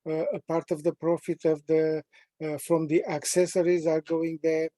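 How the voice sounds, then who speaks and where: A man in his sixties from Romania, with a Romanian accent, presenting a training webinar at a measured, deliberate pace with frequent pauses. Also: fairly high pitch, completely flat delivery, warm tone, no echo. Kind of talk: prepared self-introduction in a training webinar.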